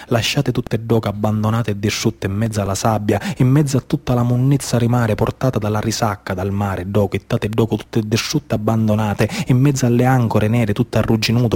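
A man's voice: mid-range voice, a little mellow. A man with a Sicilian accent performing a theatrical narrative monologue, declamatory and incantatory, with repeated phrases and dialect. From Sicily, Italy. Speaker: storyteller, stage actor.

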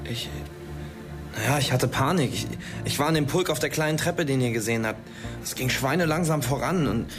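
An inquisitive teenage schoolboy in a naturalistic radio play, acted by a man. His pitch is average and his talk is colloquial and probing, with some music behind him. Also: fairly quick, natural voice. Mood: nervous. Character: excitable, inquisitive teenage schoolboy sheltering from an attack. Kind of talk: naturalistic German radio drama dialogue.

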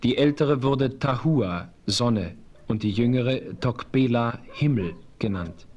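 A noble, solemn chief speaks with calm dignity in a radio play. His voice sits at an ordinary middle pitch and his phrasing is measured and formal. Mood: earnest. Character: noble, solemn Apache chief, adult man.

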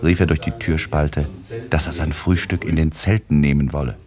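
Low-pitched male radio play narrator. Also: fairly even intonation, moderate pace, a little mellow.

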